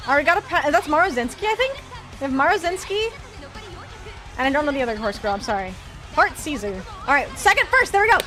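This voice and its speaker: A young woman chats with her stream audience in a high voice that leaps up and down, yelling excitedly when her racer pulls ahead. She mentions she is losing her voice. Light game music plays quietly under her. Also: fast. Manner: chatty, excitable, cheers during races. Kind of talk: live game stream commentary with chat.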